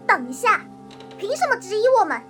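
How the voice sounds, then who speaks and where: Casting: combative young female suspect, acted by a woman, very high and animated, mystery radio play. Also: fairly quick, music in the background. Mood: defensive. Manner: confrontational, accusatory. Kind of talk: mystery radio drama acting.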